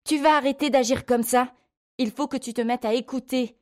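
Stern, furious woman scolding children, as voiced by a female narrator, raised pitch, commanding, audiobook drama. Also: steady tone, moderate pace, close to an everyday voice. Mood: angry, commanding. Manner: sharp, commanding shouting.

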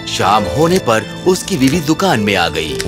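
A man's voice: medium pitch, big pitch swings. A man narrating a scripted children's fairy tale as storyteller. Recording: music under the narration. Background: music.

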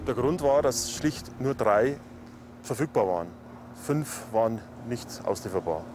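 Man, fifties, matter-of-fact interview, soft music underneath. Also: mid-range voice, expressive, unhurried. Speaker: charity board member. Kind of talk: documentary interview. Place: outdoors by parked cars.

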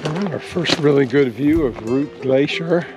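A man's voice: fairly high pitch, moderate pace, music in the background, low-quality recording. A man in his fifties narrating a casual outdoor travel vlog into a handheld action camera, easygoing and chuckling often. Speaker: travel vlogger. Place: outdoors on a glacier hike and campground.

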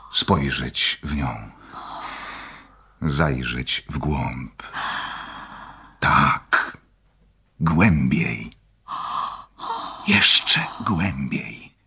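An actor playing a secretive, intense doctor in a radio drama, speaking in a measured, formal and persuasive way. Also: male voice, extremely low pitch, bright, forceful tone, slightly rough, exaggerated voice. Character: secretive, intense doctor studying occult visions.

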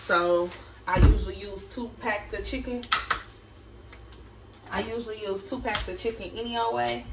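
A woman's voice: mid-range voice, steady tone, moderate pace, very noisy. A woman, chatting casually to her viewers as she cooks at home. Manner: chatty, addresses viewers as y'all. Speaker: vlogger. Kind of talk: casual cook-with-me chat. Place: small home kitchen. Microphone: camera across the kitchen. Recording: roomy kitchen audio from a distant camera, running water.

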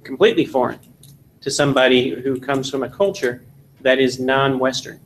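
A man from Texas in his forties, giving an animated classroom lecture and telling jokes in a seminary meeting room. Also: mid-range voice, normal speed, slight background noise. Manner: animated storytelling with jokes, gesturing. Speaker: seminar instructor.

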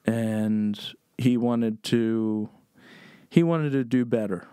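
A man's voice: mid-range voice, takes it slowly, warm tone, smooth, dry recording, studio quality. A man from Pennsylvania, a chef and podcast guest, telling family stories in a loose, rambling way with many fillers. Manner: rambling, many "um"s, laid-back storyteller. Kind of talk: casual storytelling.

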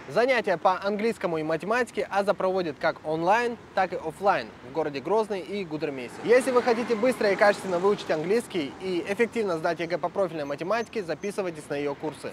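A young man hosting a street quiz show, reading a sponsor message to camera in an upbeat way, recorded on a lapel mic outdoors in a park. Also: high voice. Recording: outdoor noise clearly heard.

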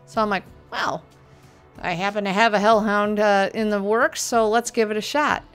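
This is a middle-aged woman in a home workshop, chatting casually about a carving tool. Her voice sits at an ordinary pitch and she sounds upbeat and unpolished, with fillers and easy laughter. Soft music plays faintly behind her. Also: moderate pace, smooth. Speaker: prop maker reviewing a tool. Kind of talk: casual product review with voice-over. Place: home workshop studio, indoors.

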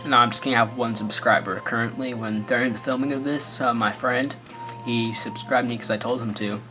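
A man's voice: medium pitch, fairly even intonation, fairly quick. A man with an American accent giving goofy, rambling let's play commentary full of sarcastic jokes. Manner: joking, rambling, sarcastic asides. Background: faint game music.

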